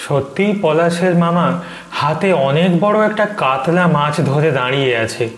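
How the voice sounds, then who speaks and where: A man's voice: a little high, moderate pace. A man narrating a scripted horror story for animation. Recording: echoey, faint noise and ambience.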